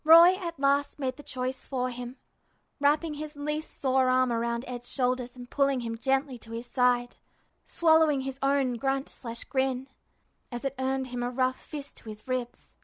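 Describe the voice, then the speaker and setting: A woman reading fiction aloud as an expressive, scripted audiobook narration. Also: sits high, unhurried, no echo. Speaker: fan fiction audiobook reader.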